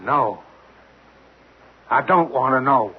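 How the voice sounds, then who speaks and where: An actor playing a frightened, fatalistic rig worker in an old radio drama, pleading with husky anguish. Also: male voice, mid-range voice, expressive, slow, heavily acted. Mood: fearful, pleading. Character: frightened, fatalistic rig worker refusing to know his fate. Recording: muffled, narrowband old radio recording.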